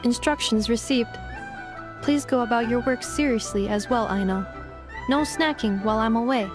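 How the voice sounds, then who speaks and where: A polite female robot caretaker from a video game played by a woman, speaking in a fairly high, measured voice. The manner is formal, precise and a little stern, with music clearly behind it. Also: close to an everyday voice. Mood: gently stern.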